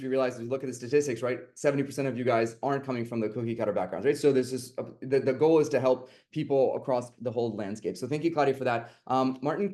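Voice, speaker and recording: A man's voice: mid-range voice, a little mellow. A man moderating an online finance panel, rambling with lots of fillers and restarts.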